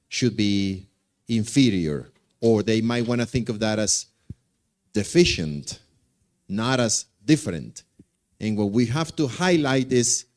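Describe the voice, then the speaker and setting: A man's voice: mid-range voice, darkish tone. A man with a Colombian accent, a native Spanish speaker, replying to audience comments at a conference and repeating key words for emphasis. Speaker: conference presenter.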